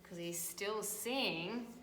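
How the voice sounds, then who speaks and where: An Australian woman teacher reading a picture book aloud and gently pointing out details in the pictures. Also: medium pitch, drawn-out words. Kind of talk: storybook read-aloud with commentary on pictures. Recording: echoey room, faint background noise.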